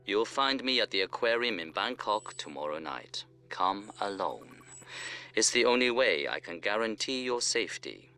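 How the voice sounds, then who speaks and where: A grave, low-voiced colonel. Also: male voice, very animated, bright, forceful tone, clear, resonant tone, soft background music, exaggerated voice. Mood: guarded, formal. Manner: measured, formal, speechifying. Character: guarded middle-aged Chinese intelligence colonel, grave and deliberate. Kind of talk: dramatic video game dialogue.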